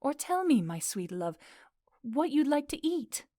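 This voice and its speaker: A woman's voice: high voice, big pitch swings, unhurried, mellow, no room sound, studio quality. An actress playing a tender yet commanding fairy queen in an audio drama of modernized Shakespeare. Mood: adoring.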